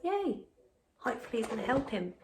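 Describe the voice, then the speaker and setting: A woman's voice: a little high, brisk, slight background noise. A woman reading a picture book aloud as a primary teacher, expressively, with chatty asides and questions to the children. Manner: expressive, encouraging, asks the listener questions. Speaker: primary school teacher reading a story to pupils.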